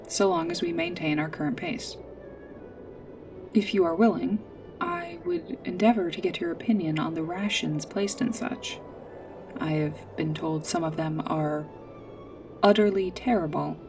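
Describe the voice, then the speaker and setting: Woman as android companion for sci-fi ASMR roleplay: low-pitched, polite, earnest, formally precise, a little hesitant; set back from the mic, clear music behind. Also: far from the mic. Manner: formal, precise, literal wording with hesitant pauses. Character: polite, earnest female android guide on a snowy planet.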